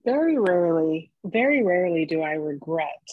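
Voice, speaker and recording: A woman's voice: fairly low pitch, very animated, normal speed, no echo. A middle-aged woman co-hosting a casual podcast chat, candid and emphatic, swearing offhandedly. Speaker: podcast co-host. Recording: dull, dry lo-fi sound.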